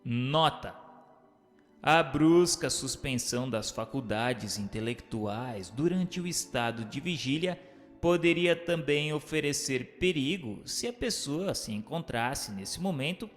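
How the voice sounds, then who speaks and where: A man reading scripted narration and questions in a formal, deliberate way. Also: mid-range voice, lively intonation. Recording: long hall reverb, music underneath. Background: music.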